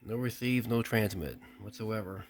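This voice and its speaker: A man with a lowish voice walks through an old radio he bought, speaking plainly and thinking aloud. He stops and restarts mid-sentence now and then. A faint hiss sits under the indoor recording. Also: moderate pace. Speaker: radio hobbyist testing gear. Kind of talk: casual unboxing walkthrough.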